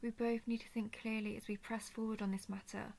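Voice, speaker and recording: Woman voicing a gentle, creaky-voiced female dragon. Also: fairly high pitch, little pitch movement. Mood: soothing. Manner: soft, soothing, formal measured diction. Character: gentle, protective female dragon, earnest empathic royal daughter. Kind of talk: scripted roleplay audio drama.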